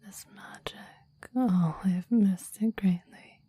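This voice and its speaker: A woman playing a clingy, deadly demon devoted to a master, in a breathy ASMR roleplay whisper with formal, old-fashioned phrasing. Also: medium pitch, slow, dark tone, natural voice. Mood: devoted, pleased. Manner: formal, archaic, reverent phrasing.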